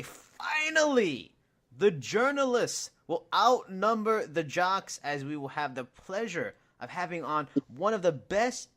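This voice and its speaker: A man hosting a casual sports podcast, cheerful and joking between topics. His voice sits a little high and moves around a lot as he talks. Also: a bit bright. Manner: upbeat, jokey, laughs often. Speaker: podcast host.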